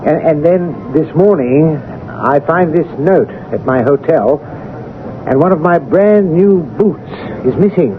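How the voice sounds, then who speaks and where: A man playing a young, indignant baronet in a radio drama, blunt, colloquial and excitable. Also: a little high, takes it slowly. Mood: bewildered. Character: indignant, blunt male young baronet, newly come into inheritance. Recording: tinny old radio recording, faint noise.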